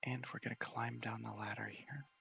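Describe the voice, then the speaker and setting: A man softly narrating a video game in a casual ASMR style, hushed and often near a whisper. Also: medium pitch, flat delivery, moderate pace, creaky, faint music behind. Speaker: host of a gaming ASMR series.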